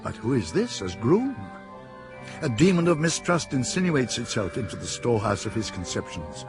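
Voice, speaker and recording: A man narrating a radio drama in a measured, wry manner. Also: medium pitch, very animated, over music.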